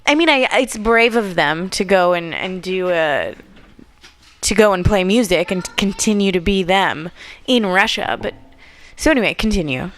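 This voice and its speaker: A woman's voice: medium pitch, lively intonation, moderate pace, fairly bright tone. A woman comedian guesting on a podcast in a radio studio, chatting casually with wry, joking asides. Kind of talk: casual chat. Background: faint background music.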